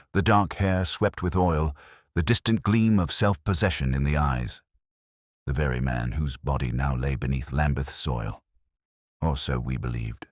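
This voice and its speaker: Male audiobook narrator for a mystery: very deep, expressive intonation, formal and measured. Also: no echo, studio quality. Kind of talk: scripted mystery story narration.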